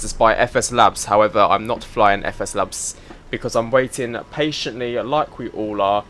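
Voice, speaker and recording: A man's voice: fairly low pitch, a bit bright, slight background noise. Chatty man, slightly congested. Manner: chatty, greets viewers by name, fiddles with his setup while talking. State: slightly congested, a bit of a cough. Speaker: flight sim streamer. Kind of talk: casual live-stream chat.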